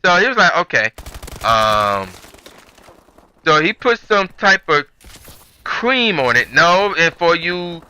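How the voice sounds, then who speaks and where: A man's voice: on the high side, big pitch swings, slow pace, pauses often, bright, forceful tone, smooth. A man telling a story as a channel host, in a rambling, conversational, slangy way. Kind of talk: casual storytelling commentary.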